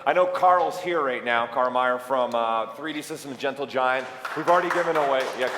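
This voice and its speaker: A joking, energetic man hosts a live event, his voice at an ordinary middle pitch. He sounds like he is on stage in a large hall, with long, echoey reverb and a faint hum of room noise behind him. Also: normal speed, a crowd in the background. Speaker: event host. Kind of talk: live conference announcements.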